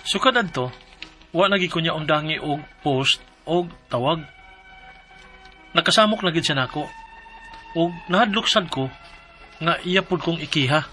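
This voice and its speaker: A man's voice: on the high side, moderate pace, pauses often, pushed and bright, soft background music. A man playing a polite young delivery rider. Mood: worried. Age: 20s. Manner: polite and apologetic, says sir and ma'am often. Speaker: letter sender narrating his problem.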